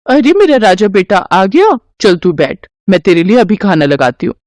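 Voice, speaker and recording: A woman voicing a scheming young daughter-in-law in a cartoon story, with a sharp, accusing, dramatic manner. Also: mid-range voice, expressive, normal speed, no room sound, close to an everyday voice. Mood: doting.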